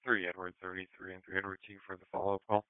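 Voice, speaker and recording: Male dispatcher, matter-of-fact and procedural, clear dry voice on emergency scanner radio. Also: deep voice, flat delivery, very fast, dry recording. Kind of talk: radio dispatch of calls to units. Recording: tinny narrowband scanner radio.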